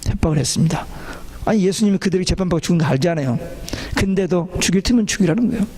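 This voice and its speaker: A man's voice: high-pitched, rapid-fire, a little mellow, soft background music. A man preaching a church sermon as a pastor, exhorting listeners and putting questions to them.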